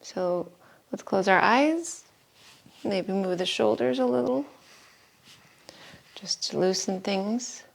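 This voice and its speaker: Slow, creaky-voiced woman guiding meditation. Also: medium pitch, animated. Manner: unhurried, soothing, with long pauses. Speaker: yoga teacher. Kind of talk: guided meditation and breathing instruction. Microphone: live video call stream.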